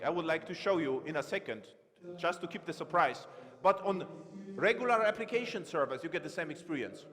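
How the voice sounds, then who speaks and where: A man giving a live-coding conference talk from a large stage, halting and correcting himself as he types. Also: sits high, a bit bright. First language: not Romanian. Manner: halting, self-correcting, thinks aloud while typing. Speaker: conference speaker. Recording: long reverb of a big hall.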